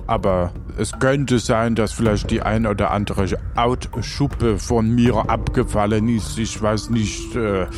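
A man playing a hospitable but easily offended man-eater in an improvised audio drama, speaking formally and haltingly. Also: fairly low pitch, lightly acted. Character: touchy, hospitable male man-eater serving dubious food. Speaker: game master.

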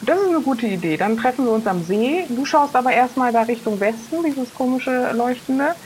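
A woman's voice: a little high, animated, moderate pace, a little hiss or hum, low-quality recording. A woman improvising in character as a player in a tabletop role-playing game. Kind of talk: improvised in-character chat and play.

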